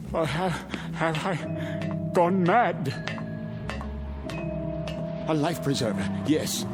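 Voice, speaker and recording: Haunted male ship passenger, higher-pitched, very animated, desperate, repetitive phrasing, audio drama. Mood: bewildered, desperate. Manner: agitated, halting repetitions, rising to desperate cries. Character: frantic doomed male ship passenger gripped by dread. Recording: dramatic music under the voice.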